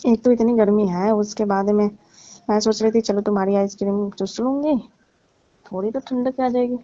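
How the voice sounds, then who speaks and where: A woman's voice: mid-range voice, fairly even intonation, moderate pace, mellow, no room sound, natural voice. A woman playing a flirtatious, needy married aunty in a staged phone-call skit, pleading and complaining suggestively. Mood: frustrated, pleading. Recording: dull, lo-fi call recording.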